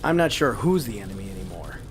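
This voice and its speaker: An actor playing a seasoned former field agent, wary, serious and dryly exasperated, in an audio drama. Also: male voice, lowish voice, very animated, fast, slight background noise.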